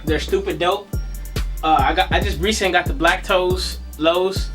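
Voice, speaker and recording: A man, slightly raspy and under the weather with a cough, hosting a casual sneaker unboxing vlog in a slangy, laid-back way. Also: high-pitched, steady tone, moderate pace, over music. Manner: slangy, laid-back, addresses viewers as y'all. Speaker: sneaker vlogger.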